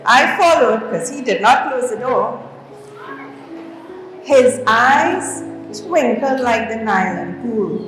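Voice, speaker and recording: A woman with a Trinidadian accent reads a comic rhyming story aloud in an expressive, theatrical way, with deliberate phrasing. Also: fairly high pitch. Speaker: guest storyteller reading aloud at a school. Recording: very echoey hall, distant mic, faint music.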